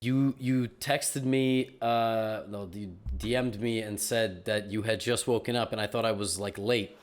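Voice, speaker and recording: A man hosting a podcast, relaxed and casual, often stumbling into restarts and fillers. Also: lowish voice, a little slow. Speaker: podcast host.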